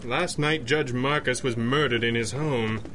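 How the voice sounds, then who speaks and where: An actor as a suave gentleman masked hero in an old-time radio adventure. He speaks in a bright, forceful tone, formal and wryly commanding. Some background ambience sits under his voice. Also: mid-range voice, measured pace, slight background noise, lightly acted. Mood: grave, brisk. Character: suave, unflappable gentleman masked superhero boss. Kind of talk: 1930s radio drama acting.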